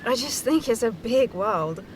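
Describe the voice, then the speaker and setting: A woman's voice: on the high side, expressive, measured pace, noisy background. An actress playing a restless young woman graduate, wry and challenging, in naturalistic audio drama. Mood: restless, earnest. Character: restless young female graduate back home, wry and questioning. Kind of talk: naturalistic audio play dialogue.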